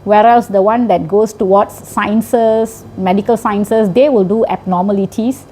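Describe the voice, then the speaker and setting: Malaysian English woman, middle-aged teacher; mellow, explanatory, keeps checking in with "right?"; faint noise behind. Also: mid-range voice, fairly even intonation, unhurried. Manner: explanatory, often ends with a tag question. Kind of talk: interview answers.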